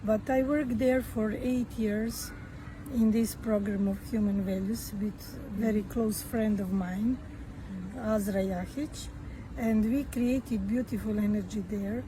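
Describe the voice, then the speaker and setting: A Serbian-accented woman speaking English as a second language. She talks slowly and haltingly, pausing often on fillers. The recording is noisy, with a clear hum of passing traffic behind her. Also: medium pitch, fairly even intonation. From Croatia. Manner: slow and halting, many fillers and restarts. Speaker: guest author and activist. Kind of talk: podcast interview.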